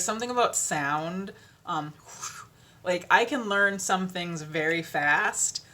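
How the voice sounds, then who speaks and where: A young woman with a deeper voice, chatting casually and self-deprecatingly about narrating audiobooks, full of ums and little laughs. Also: bright, forceful tone. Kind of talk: casual chat.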